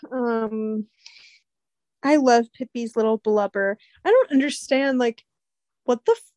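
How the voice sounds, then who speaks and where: A woman's voice: fairly high pitch, dry recording. A young woman in casual chat, telling rambling stories with lots of fillers and a slightly nasal sound. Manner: rambling, animated storytelling with many fillers.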